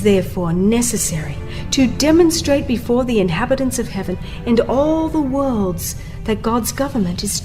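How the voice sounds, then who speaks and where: Woman, fairly high voice, solemn dramatic audiobook narration, emphatic phrasing, faint music behind. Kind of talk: dramatized scripted narration of a religious text.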